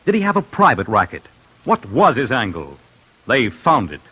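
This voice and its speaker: A man's voice: medium pitch, wildly swooping pitch, normal speed, bright, forceful tone, breathy edge, lo-fi sound. A man narrating an old-time radio crime show in a punchy, clipped, suspenseful way. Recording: old radio broadcast with music bed.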